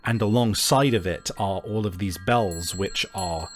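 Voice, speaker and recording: A man narrating a tabletop game as game master, improvising and often halting to search for words. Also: sits low, faint music behind.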